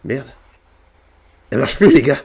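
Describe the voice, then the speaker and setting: A man's voice: medium pitch, animated, unhurried, creaky, a little mellow. A man improvising game roleplay banter in a cheeky, swearing, provocative way on a gaming microphone. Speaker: video creator playing a prankster in online roleplay.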